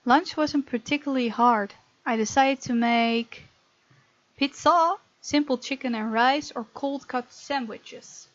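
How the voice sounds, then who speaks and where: A woman's voice: high-pitched, slow, muffled recording. A woman from the Netherlands, reading a visual novel aloud as let's play narration with casual asides.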